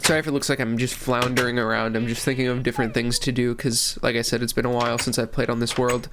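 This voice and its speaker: A man's voice: medium pitch, flat delivery, fast, background noise. Congested young man rambling over gameplay. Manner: rambling, restarts phrases, many fillers. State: congested from a cold. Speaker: gaming channel host. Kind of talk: casual commentary while playing.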